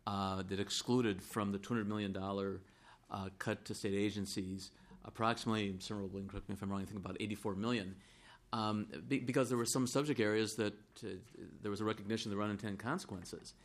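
A hoarse middle-aged man, a state senator who once chaired the finance committee, speaking deliberately in a legislative floor debate. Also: fairly low pitch, steady tone. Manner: deliberate, supplementing a colleague's point.

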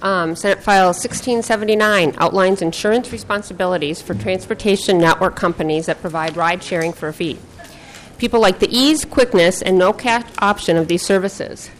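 Woman legislator, mid-pitched, measured committee testimony from notes, faint room hiss. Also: poor audio. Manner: measured, reads from prepared notes. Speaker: state senator presenting a bill.

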